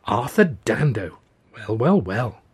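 A man's voice: mid-range voice, very animated, takes it slowly, breathy edge, full character voice. A man voicing an eager, naive young comic in an audiobook, full of polite, gushing, old-fashioned exclamations. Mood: excited, amazed. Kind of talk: audiobook character voice.

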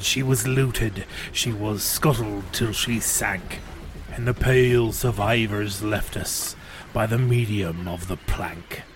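A man reciting poetry in a measured, declamatory manner, as a dramatic reading. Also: medium pitch, slight background noise. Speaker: poetry reader.

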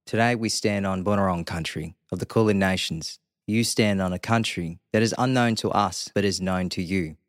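A man's voice: low-pitched, moderate pace, hardly any pauses, no room sound, clean studio sound. A man from Australia giving a formal, scripted acknowledgement of country and introducing the episode.

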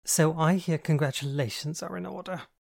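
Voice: mid-range voice, moderate pace, creaky, a little mellow, pitched above the performer's own voice. A reader voicing a flamboyant, camp young man who speaks grandly and teases his friend, in an audiobook. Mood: teasing. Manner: grand, theatrical, teasing. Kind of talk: audiobook dialogue.

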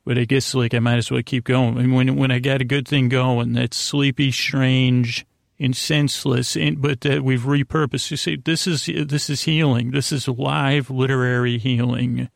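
A man hosting a bedtime podcast in a creaky voice, rambling in a gently silly, halting way that meanders and circles back. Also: medium pitch, flat delivery, dry recording, studio quality. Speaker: podcast host. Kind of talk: rambling bedtime monologue to aid sleep.

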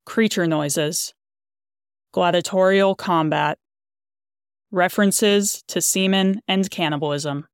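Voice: mid-range voice, fairly even intonation, slow, pauses often, clean tone, no echo, clean studio sound. An announcer giving a scripted reading in short, listed phrases. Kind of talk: flat scripted content-warning reading.

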